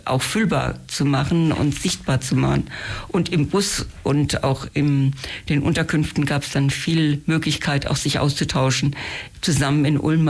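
A man with a somewhat high voice talks as a guest in a radio interview. He is a volunteer organiser and speaks in an earnest, conversational way.

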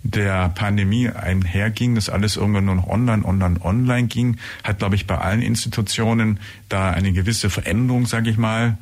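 A man's voice: on the low side, fairly even intonation, normal speed, hardly any pauses, a little mellow. A man conducting a conversational interview, who rambles and often searches for words with fillers. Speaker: radio interviewer.

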